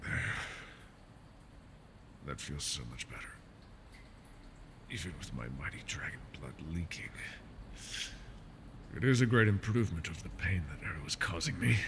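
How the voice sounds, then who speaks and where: A man's voice: low-pitched, expressive, normal speed, creaky, long pauses, background noise. A man voicing a proud, wounded male dragon in an ASMR fantasy roleplay, haughty and scornful while he is tired and in pain. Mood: relieved, grudging.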